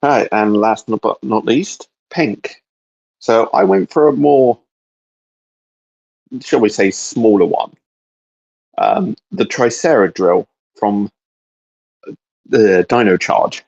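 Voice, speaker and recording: Man, lowish voice, casual co-host, slightly dull lo-fi recording. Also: slow pace, gravelly, pauses often, a little mellow. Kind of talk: casual chat.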